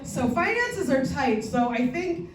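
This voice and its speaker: A woman's voice: sits high, unhurried, recorded from a distance, background noise. Bawdy woman comic in an echoey room. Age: 40s. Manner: deadpan bawdy punchlines, chatty asides with filler ums. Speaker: stand-up comedian. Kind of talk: stand-up comedy set. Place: comedy theatre stage before a live audience.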